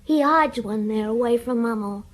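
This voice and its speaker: A woman's voice: on the high side, moderate pace, natural voice. A woman playing an anxious village child in a radio drama, hesitant and plain-spoken. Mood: reluctant, confiding. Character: anxious village child, troubled by a drunken father.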